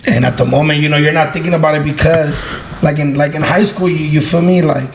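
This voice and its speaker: Young man, twenties, from los angeles, gravelly fry, slangy rambling chat, noisy background. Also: on the high side, fairly even intonation, fast. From Los Angeles, United States. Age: 20s. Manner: loose slangy talk full of fillers and swearing. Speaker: guest, online video creator. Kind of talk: casual podcast chat.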